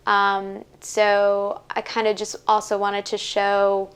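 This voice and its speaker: Smooth-voiced young woman, chatty and casual. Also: mid-range voice, completely flat delivery, slow pace. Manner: chatty, lots of "like" and "um" fillers. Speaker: podcaster and workshop guest. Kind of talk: casual interview answers.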